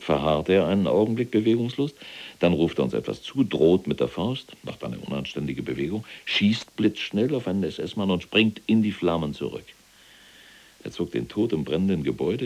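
Very deep, gravelly SS general. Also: male voice, a little mellow, heavily acted. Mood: boastful. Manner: clipped military reporting, self-satisfied. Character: imprisoned SS general, cold, boastful, unrepentant. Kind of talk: radio drama monologue, recounting events.

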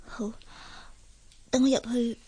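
High-voiced, timid devoted maid, Cantonese drama. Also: female voice, flat delivery, takes it slowly, dark tone, husky, poor audio. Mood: cautious, concerned. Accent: Hong Kong Cantonese. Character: devoted young maidservant, timid, tender and self-effacing. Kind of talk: Cantonese radio drama acting.

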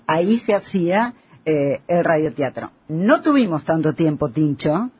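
A man's voice: fairly high pitch, big pitch swings, unhurried, darkish tone. A man with an Argentine accent hosting a conversational radio interview. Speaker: radio host.

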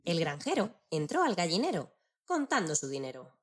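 A woman narrating a children's story in an expressive storytelling style. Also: lowish voice, normal speed, breathy edge.

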